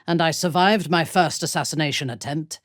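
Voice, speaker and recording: A woman playing a haughty, ruthless dark elf warrior in a fantasy video game, with an imperious, deliberate, formal delivery. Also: deep voice, no echo, clean studio sound, close to an everyday voice. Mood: cold, imperious.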